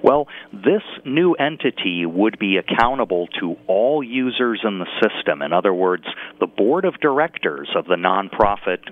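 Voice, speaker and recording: An American man speaking as an interview guest, explaining and advocating in a measured, articulate way. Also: lowish voice, big pitch swings, no echo. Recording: phone-like narrow-band radio call-in line.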